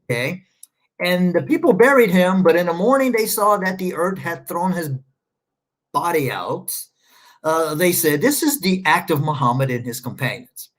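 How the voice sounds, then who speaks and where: A man's voice: sits high, animated, normal speed, a bit bright. A man rambling through casual livestream commentary, sarcastic and scornful, stopping often to search his screen. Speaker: online commentator.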